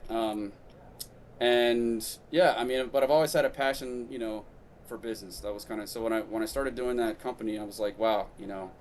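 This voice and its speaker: A man's voice: lowish voice, a little fast. Rambling man with faint background hiss. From Brazil. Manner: rambling, many fillers and restarts. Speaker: podcast guest, founder. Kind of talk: casual interview chat.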